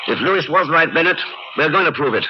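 A man plays an unflappable agent from a fifties radio thriller. He talks fast, in terse, dry, commanding lines. The sound is a muffled vintage broadcast. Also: fairly high pitch, lively intonation, loud music behind the voice, natural voice. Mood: determined. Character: cool, unflappable, determined male secret agent. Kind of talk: 1950s radio drama acting. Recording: muffled old radio recording.

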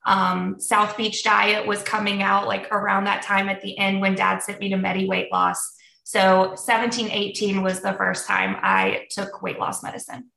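A woman's voice: mid-range voice, little pitch movement, normal speed, creaky, echoey room, distant mic, rough recording. A woman in her thirties, a podcast guest raised in the United States, telling her own story openly and reflectively, with frequent ums. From born in Germany, raised in the United States. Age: 30s. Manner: open and reflective, with many ums and soft laughs. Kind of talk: candid personal storytelling.

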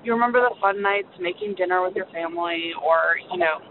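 Creaky-voiced woman on a noisy phone. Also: brisk. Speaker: listener leaving a voicemail. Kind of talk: casual reflective chat. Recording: noisy phone line, vehicle rumble.

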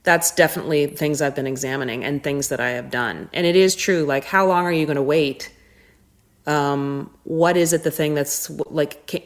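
A woman talking casually and reflectively as a podcast guest, thinking aloud haltingly and often questioning herself. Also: sits low. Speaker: guest, touring musician.

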